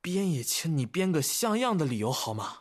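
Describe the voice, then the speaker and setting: An actor playing a spirited, bashful young man in a romance audio drama, expressive and boyish in delivery. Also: fairly high pitch, moderate pace. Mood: flustered. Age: young adult.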